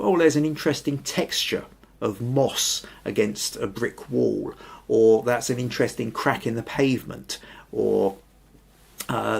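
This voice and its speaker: A man's voice: medium pitch, vocal fry, husky. An older man hosting a photography podcast from a small home room, chatting in a thoughtful, rambling way and reading out viewer comments. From Scotland. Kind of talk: casual live-stream chat.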